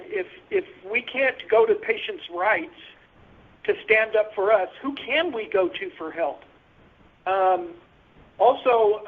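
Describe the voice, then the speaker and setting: Guy with a high voice calling in on a thin phone line, halting earnest statement. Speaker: patient caller giving a statement. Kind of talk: spoken statement on a conference call. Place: conference call by telephone. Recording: tinny, narrow phone line.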